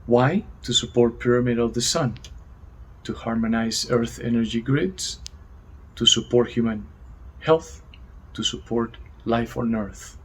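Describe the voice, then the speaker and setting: Medium-pitched man reading out findings. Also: slight background noise, poor audio. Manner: deliberate, clipped item-by-item delivery. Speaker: co-presenter reporting energy readings.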